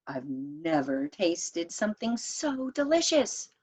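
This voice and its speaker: A woman's voice: fairly low pitch, very animated, takes it slowly, a little mellow, exaggerated voice. A woman voicing a mischievous, greedy little male storybook creature with eager exclamations. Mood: delighted.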